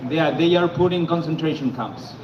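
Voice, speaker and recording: A man from Argentina doing a stand-up comedy set on a club stage, stammering into deadpan punchlines. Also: a little high, fairly quick. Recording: echoey club, lo-fi, noisy.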